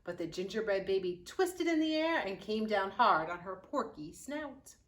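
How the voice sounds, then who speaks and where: A woman reading a children's picture book aloud with expressive, dramatic storytelling and drawn-out key words. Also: mid-range voice. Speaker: youth services librarian reading aloud.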